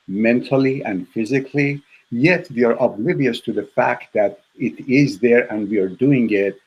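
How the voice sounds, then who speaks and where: A man whose native language is Farsi, explaining his field as an expert in an interview, in a measured, instructive way with parallel phrases and a husky voice. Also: fairly low pitch, a little mellow, low-quality recording. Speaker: author interviewed as negotiation expert.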